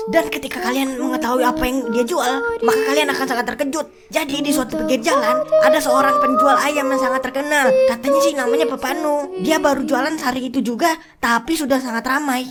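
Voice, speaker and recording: A high-pitched storyteller acted by a woman narrates a spooky short video with excitable drama. Loud music runs underneath the cartoon narration. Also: fast, hardly any pauses, close to an everyday voice. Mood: dramatic, suspenseful.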